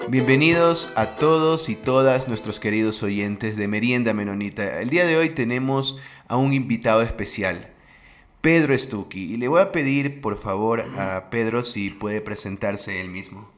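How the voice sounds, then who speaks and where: A man's voice: lowish voice, moderate pace, fairly bright tone, faint music behind. A man hosting a podcast, introducing the show and bringing in his guest. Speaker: podcast host.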